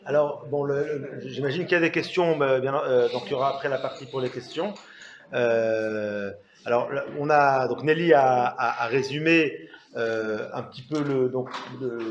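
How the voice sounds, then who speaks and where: Halting man in a dull, echoey room. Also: mid-range voice, slight background noise. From France. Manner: halting, repeats words. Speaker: rabbi, co-author speaking at book talk. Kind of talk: informal spoken anecdote to an audience. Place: indoor talk venue.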